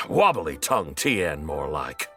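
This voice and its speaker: Theatrical storyteller, wildly swooping pitch. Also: male voice, faint music behind, exaggerated voice. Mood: self-mocking. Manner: theatrical, measured, expressive. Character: self-dramatizing male storyteller, boastful but easily flustered. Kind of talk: video game character dialogue.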